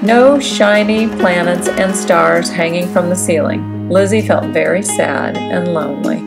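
A woman giving an expressive read-aloud narration of a children's storybook. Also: on the low side, a little slow, gravelly. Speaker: storybook reader. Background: background music.

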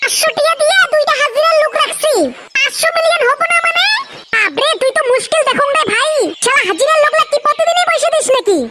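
A performer with a pitched-up voice filter plays a boastful, crude cartoon village lad. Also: quick pace, very noisy. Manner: crude, bragging, swearing. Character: cartoon village lad, boastful, foul-mouthed coin-obsessed buddy. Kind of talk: comic cartoon banter.

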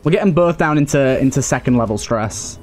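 A man's voice: mid-range voice, expressive, brisk. A man giving casual, chatty gameplay commentary as a let's play host, thinking aloud with mild understatement. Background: video game music.

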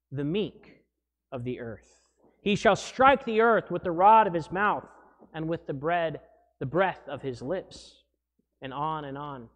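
A man in his thirties, a pastor preaching a sermon from the pulpit mic, animated and wry, with small restarts. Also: sits high. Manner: animated, gesturing while preaching. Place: church sanctuary, indoors. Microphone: gooseneck pulpit mic. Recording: long church reverb.

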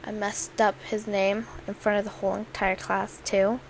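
A young woman amateur auditioning for voice-over, speaking in a halting way with occasional stumbles over words. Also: mid-range voice, level tone. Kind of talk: casual intro and slow poem recitation. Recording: noisy amateur home recording.

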